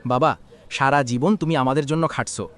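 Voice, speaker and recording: An actor playing a grown son in a cartoon, persuasive and self-justifying, with a Bangladeshi Bengali accent. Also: male voice, mid-range voice, normal speed, fairly bright tone, lightly acted. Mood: coaxing. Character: grown son coaxing his father to leave home. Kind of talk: cartoon voice acting.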